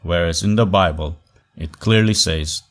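Deep-voiced male teacher giving a measured lecture. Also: fairly bright tone. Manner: measured, emphatic pauses between phrases. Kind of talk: recorded online class lecture. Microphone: voice-over on slides.

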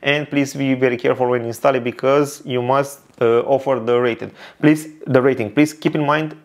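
A man's voice: mid-range voice, fairly even intonation, moderate pace. A man in his thirties from Romania, with a Romanian accent and Romanian as his first language, reviewing a tech product in careful English with fillers and repeats. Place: indoor studio with neon lights and shelves.

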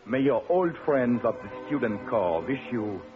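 A man's voice: medium pitch, animated, measured pace, natural voice. An actor playing a respectful, formal student spokesman in 1950s radio drama style. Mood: solemn respect. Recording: tinny old radio broadcast, music behind.

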